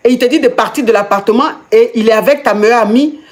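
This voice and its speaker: Stunned questioning woman coach, higher voice. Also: quick pace. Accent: Ivorian French. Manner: incredulous, stammering repeats, many questions. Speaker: host and coach. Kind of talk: live call-in advice chat.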